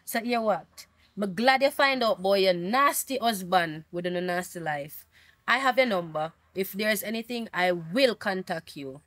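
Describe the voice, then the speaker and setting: Woman as indignant mother, proud, protective, sharp-tongued; dry. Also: medium pitch, expressive, a little slow, no echo. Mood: defiant. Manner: indignant, scolding. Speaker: mother of a pregnant daughter.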